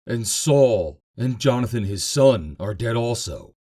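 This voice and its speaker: A man's voice: medium pitch, lively intonation, unhurried, mellow. A man voicing an earnest young Amalekite messenger, declaiming his report in a scripture reading.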